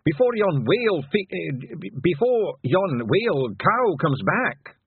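A man's voice: sits high, sing-song, unhurried, breathy edge, no echo, phone-quality sound, voice pushed up, exaggerated voice. A man voicing a small, feisty Scottish pixie leader in a storybook, with a folksy, conversational manner. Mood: nervous.

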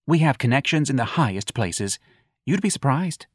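A man voicing a flamboyant, teasing young man who mocks in a high, gleeful voice. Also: very animated, moderate pace, breathy edge, dry recording, clean studio sound. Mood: catty. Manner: campy, mocking, shrieking.

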